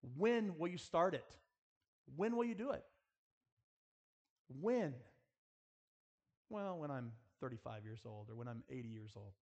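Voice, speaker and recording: A man's voice: medium pitch, lively intonation, unhurried, pauses often, darkish tone. A man preaching earnestly in a school chapel style, exhorting his listeners and addressing them directly. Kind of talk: sermon with rhetorical questions and prayer.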